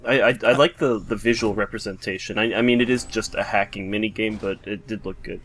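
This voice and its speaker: Low-voiced man chatting about a game. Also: fairly even intonation, brisk, a bit bright, a little hiss or hum. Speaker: let's play co-commentator. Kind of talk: casual video game commentary.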